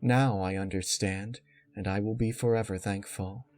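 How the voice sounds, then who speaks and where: A man voicing a dutiful young unicorn mare, polite, composed and calculating, in a dark story reading. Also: on the low side, fairly even intonation, normal speed, no room sound, close to an everyday voice. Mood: proud, deferential.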